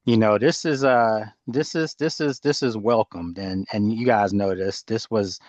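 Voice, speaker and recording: A man's voice: on the low side, a little slow, a little mellow, no room sound, highs cut off. A man in his fifties hosting a casual call-in chat, often repeating words as he talks and laughing easily. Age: 50s. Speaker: host.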